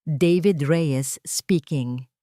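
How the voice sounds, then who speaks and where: A woman's voice: deep voice, drawn-out words, warm tone, no room sound, clean studio sound. A woman with a Filipino accent voicing a loyal, protective male lawyer in a dramatic audio story. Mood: stunned.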